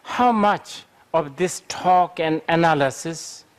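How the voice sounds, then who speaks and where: Slightly high-voiced man teaching calmly. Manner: unhurried, thinking aloud with fillers. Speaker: Buddhist teacher answering questions. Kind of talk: lecture Q&A answer.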